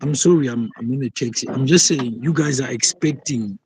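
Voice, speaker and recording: A man's voice: mid-range voice, brisk, darkish tone, slight background noise. A young South African man on a phone video call from a taxi, arguing his point in a casual live debate and repeating himself as he goes. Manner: argumentative, repeats himself, frequent "like". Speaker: call-in guest.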